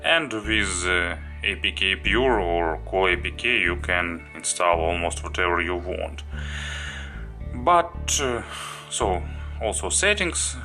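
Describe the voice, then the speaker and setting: Deep, slow-paced man reviewing a gadget. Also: animated, pushed and bright, faint music behind. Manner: halting, listing items one by one. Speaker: product reviewer, host. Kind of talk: unscripted product review walkthrough.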